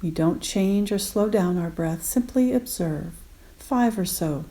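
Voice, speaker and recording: A woman guiding a meditation gently and unhurriedly in short spaced phrases, with soft, breathy endings. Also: medium pitch, lively intonation, measured pace. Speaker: meditation guide. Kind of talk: guided meditation.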